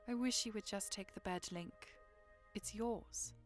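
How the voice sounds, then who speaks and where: A woman playing an affectionate young princess in an audio drama, caring and playfully commanding, with a gentle, teasing manner. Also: medium pitch, slow, faint music behind, close to an everyday voice. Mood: weary affection. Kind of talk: fan audio drama acting.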